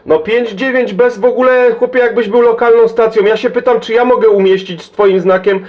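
A Polish man working as a CB radio operator, giving call signs and chatting in a brisk, friendly, informal way. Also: high voice, fairly even intonation, darkish tone. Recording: dull, lo-fi CB radio recording.

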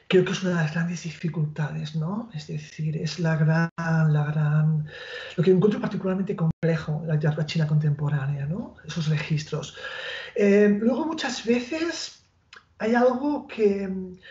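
A man in his forties with a Spanish accent, a literary translator answering interview questions over a video call, pausing and restarting often and adding tag questions. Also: high-pitched, darkish tone. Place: small room at home with bookshelf. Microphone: earphone mic on video call. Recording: roomy, dull lo-fi call audio.

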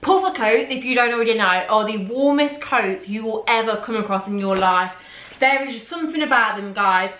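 A woman's voice: on the high side, a little fast, fairly bright tone, highs cut off, a little hiss or hum. Chatty woman in an echoey bedroom. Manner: chatty, addresses viewers as guys. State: slightly losing her voice. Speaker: fashion vlogger. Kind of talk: chatty clothing haul. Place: bedroom, seated on a bed.

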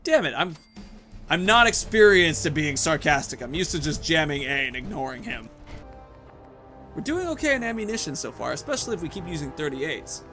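A man's voice: fairly high pitch, big pitch swings, a little fast, bright, forceful tone, faint music behind. A man in his thirties giving casual, off-the-cuff commentary while playing a video game. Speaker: video game streamer, host.

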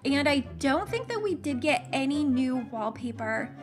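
A young woman chatters bubbly and gushing over a game, her high voice a little worn because she is losing it. Gasps and giggles slip between her lines. Upbeat background music plays clearly beneath her. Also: big pitch swings, a little slow. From Canada. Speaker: gaming channel host. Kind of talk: casual game commentary.

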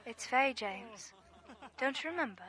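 A woman's voice: high voice, animated, unhurried, pushed and bright, full character voice. An actress playing an eerie, insistent woman on a call in a radio play, plaintive and pressing. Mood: coy. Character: eerie, insistent young woman calling from a station.

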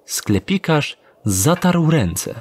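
A man narrating an audio drama in a measured, descriptive way. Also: on the high side, big pitch swings, a little mellow, a little hiss or hum.

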